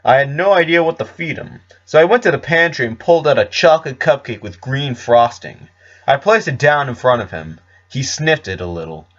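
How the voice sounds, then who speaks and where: A man reads a scripted tale as its narrator. His pitch is middling and the delivery is that of storybook reading. The recording sounds a little dull and lo-fi. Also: a bit bright. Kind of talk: storybook-style fan fiction reading.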